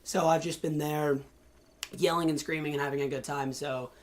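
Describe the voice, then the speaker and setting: A hoarse young man in college hosting a casual, excitable let's-play commentary with lots of fillers. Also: a little high, normal speed. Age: young adult. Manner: excitable, chatty, many fillers. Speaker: gaming channel host.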